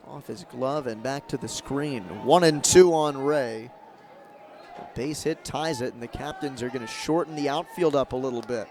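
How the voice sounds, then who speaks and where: A man doing live radio play-by-play of a baseball game as the announcer, in measured calls with emphasis on key moments. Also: mid-range voice, darkish tone. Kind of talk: live radio sports commentary. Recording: crowd and ambient stadium noise behind. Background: ballpark crowd murmur.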